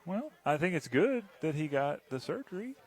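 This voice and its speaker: A man's voice: a little high, big pitch swings, moderate pace. A male radio sports broadcaster from Texas, bantering casually in an easygoing, conversational way. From Waco, Texas.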